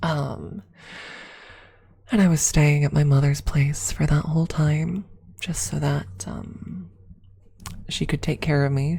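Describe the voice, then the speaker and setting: A woman telling personal stories as an ASMR streamer, casually chatting in a hushed, unhurried way with frequent pauses. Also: low voice, vocal fry, breathy edge. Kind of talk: ASMR storytelling, casual chat.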